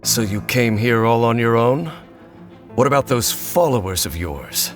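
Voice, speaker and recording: A man playing a stern, world-weary swordsman in a video game, speaking in a measured, serious way. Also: mid-range voice, lively intonation, soft background music, lightly acted. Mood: commanding.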